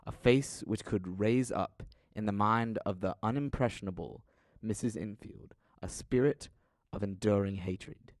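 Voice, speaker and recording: A man's voice: fairly low pitch, a little mellow, no echo, clean studio sound. A young man reading scripted literary narration for an audio drama in a formal, dramatic storytelling manner.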